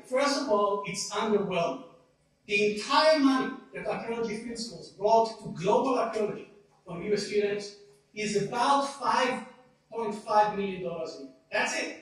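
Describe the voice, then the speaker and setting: A man with an Israeli accent giving a conference presentation, explaining data with dry self-deprecating asides. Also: sits high. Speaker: conference speaker. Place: conference hall. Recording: echoey hall, speaker far from mic.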